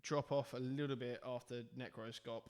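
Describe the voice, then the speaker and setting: A man's voice: medium pitch, steady tone, normal speed, a crowd in the background. Rambling man in a casual album chat. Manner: rambling, hesitant, many restarts and fillers. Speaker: podcast co-host. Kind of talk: casual round-table album review.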